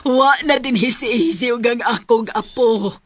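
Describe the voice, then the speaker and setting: A woman's voice: on the high side, a little slow. A woman with a Cebuano Filipino accent acting a possessive, stubborn grandmother and mother in a radio drama. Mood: dismissive.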